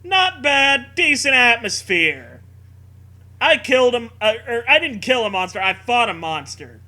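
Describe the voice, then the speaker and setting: A man co-hosting a comedy show, reading fan fiction aloud and mocking it in an animated, sarcastic way. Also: very high voice, unhurried. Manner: animated, sarcastic, laughs often. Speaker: podcast co-host.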